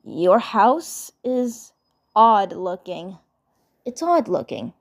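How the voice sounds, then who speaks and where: A woman's voice: medium pitch, very animated, drawn-out words, exaggerated voice. A woman voicing a proud, stubborn, defensive little male cartoon alien who mumbles in a prickly way.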